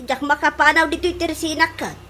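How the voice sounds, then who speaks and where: A village woman with a high voice, played by an actress in a comedy radio drama. She has an Ilocano accent, and the recording is noisy, with clicks. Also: steady tone, fairly quick, natural voice. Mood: flustered.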